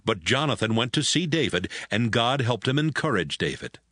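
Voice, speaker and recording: A man's voice: mid-range voice, big pitch swings, normal speed, fairly bright tone, dry recording, clean studio sound. A man giving scripted narration of a Bible story as the narrator.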